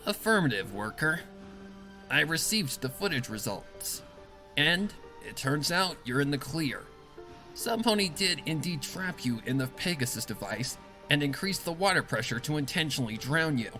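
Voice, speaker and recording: A man voicing a calm, commanding female pegasus factory boss in a dark story, speaking formally and with measured authority. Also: fairly high pitch, soft background music, pitched above the performer's own voice. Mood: calm, authoritative. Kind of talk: dramatic fan fiction reading.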